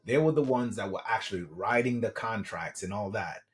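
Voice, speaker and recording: A middle-aged man from Trinidad and Tobago, a guest telling his life story in a friendly, animated way and often saying "man". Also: fairly low pitch, normal speed, faint music behind. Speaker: guest, government contracting coach. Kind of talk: casual interview storytelling.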